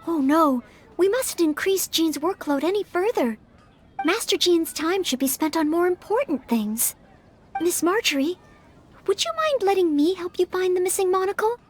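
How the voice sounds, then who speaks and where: A woman voicing a gentle, earnest young maid-knight girl in a video game, speaking politely and formally. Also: very high voice, lively intonation, moderate pace.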